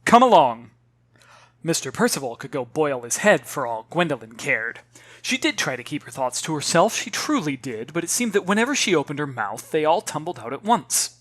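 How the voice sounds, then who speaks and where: An author narrating a children's novel in an expressive, theatrical way, addressing listeners directly. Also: mid-range voice, a little fast, dry recording.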